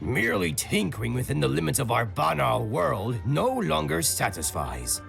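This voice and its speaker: A man's voice: mid-range voice, big pitch swings, moderate pace, gravelly, fairly bright tone, heavily acted. A man voicing a scheming older male mad scientist villain, theatrical and gloating. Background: music score.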